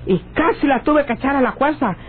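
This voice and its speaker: Very high, tender sweetheart. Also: female voice, animated, brisk. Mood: indignant. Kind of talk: old radio serial acting. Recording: tinny old radio recording.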